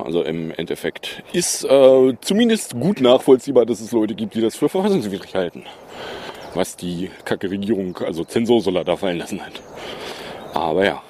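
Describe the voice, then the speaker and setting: A man's voice: fairly low pitch, darkish tone, a little hiss or hum. A man hosting a casual news podcast, rambling and sarcastic, with many fillers. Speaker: podcast host.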